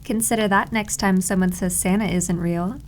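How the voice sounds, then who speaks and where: A woman's voice: mid-range voice, fairly even intonation, moderate pace, natural voice. A woman acting as a doting, possessive maid girlfriend in an ASMR roleplay, cooing and confidently teasing. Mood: playful, gleeful.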